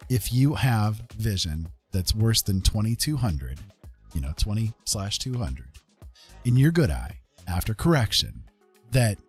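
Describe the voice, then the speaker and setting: A man talking casually and candidly as a livestream host, confiding in listeners in a halting, conversational way. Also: lowish voice, darkish tone, breathy edge.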